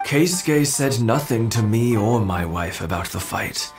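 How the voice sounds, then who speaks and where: An actor playing a gentle elderly husband in a video game, thoughtful, nostalgic and a little hesitant. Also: male voice, lowish voice, lively intonation, a little slow. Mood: pensive. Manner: hesitant, reflective. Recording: music under the dialogue.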